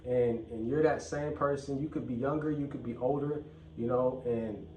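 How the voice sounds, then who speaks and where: A man's voice: medium pitch, steady tone, moderate pace, narrow, tinny audio, a little hiss or hum. A man hosting his own vlog, talking bluntly and profanely and repeating phrases for emphasis. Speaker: channel host. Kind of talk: casual vlog rant. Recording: echoey room, mic at a distance.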